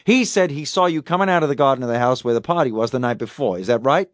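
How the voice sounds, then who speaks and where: A man's voice: mid-range voice, animated, quick pace, no echo, clean studio sound, close to an everyday voice. A man voicing a sarcastic police detective who probes and taunts as he interrogates a suspect in an audiobook. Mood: pressing. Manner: probing, taunting.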